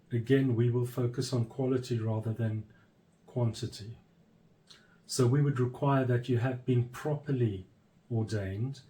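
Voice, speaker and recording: Measured, mellow-voiced man addressing viewers. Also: mid-range voice, low-quality recording. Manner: measured, pausing between phrases, firm. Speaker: church leader. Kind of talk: earnest informational address.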